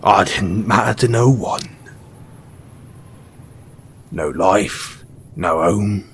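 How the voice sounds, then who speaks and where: A sly, cynical merchant of the wasteland acted by a man talks in a lowish voice, taking his time over every phrase. He addresses one listener with knowing, colloquial ease in a post-apocalyptic audio roleplay, over some background ambience. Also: a little hiss or hum. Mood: reflective, frank. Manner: unhurried, colloquial, knowing, with pointed pauses.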